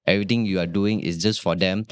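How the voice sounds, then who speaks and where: A man hosting an interview, gentle and affirming, phrasing his questions haltingly. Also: low-pitched, fairly even intonation, clear, resonant tone, no echo. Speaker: host.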